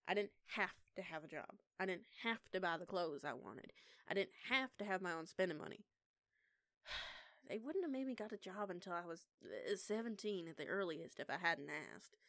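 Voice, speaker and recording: A woman's voice: mid-range voice, a bit bright, no room sound, clean studio sound. A young woman playing a defiant eighteen-year-old punk lone-wolf werewolf in an audio roleplay, with a casual, sweary, sarcastic manner. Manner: sweary, casual, sarcastic, halting when flustered. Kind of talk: intimate one-sided audio roleplay acting.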